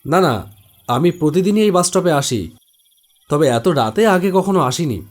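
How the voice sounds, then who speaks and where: A man voicing an uneasy office worker stranded at night in an animated horror story, hesitant and nervous. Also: a little high, normal speed, natural voice. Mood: puzzled. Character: uneasy young male office worker, lone night passenger.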